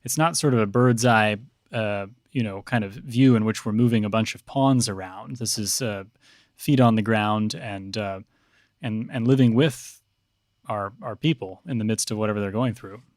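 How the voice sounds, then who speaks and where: A man speaking as a priest guest on a podcast, answering reflectively in a halting, deliberate way. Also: fairly low pitch, dry recording, clean studio sound.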